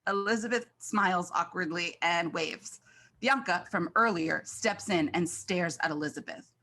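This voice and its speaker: A woman's voice: lowish voice, normal speed, pushed and bright. A woman reading scripted stage directions as the narrator of a screenplay.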